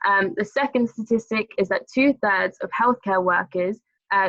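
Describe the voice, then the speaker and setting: A young woman from the UK co-hosting an online seminar, presenting statistics in a clear, steady way. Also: mid-range voice, no room sound, lo-fi sound. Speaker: seminar co-host. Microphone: video call.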